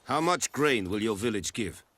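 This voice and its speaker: Stern garrison commander: lowish voice, formal and deliberate, a plain-spoken soldier in a fantasy game. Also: male voice, animated, a bit bright, a little hiss or hum. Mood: firm, commanding. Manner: formal, deliberate, clipped phrasing. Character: stern, measured foreign army commander, plain-spoken former farmer. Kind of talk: video game acting.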